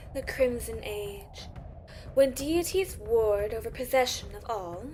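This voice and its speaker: A woman's voice: a little high, takes it slowly, a little mellow, a little hiss or hum. A woman playing a haughty, ancient siren empress in a fantasy audio drama, with a regal, disdainful delivery. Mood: grave. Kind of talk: fantasy audio roleplay acting.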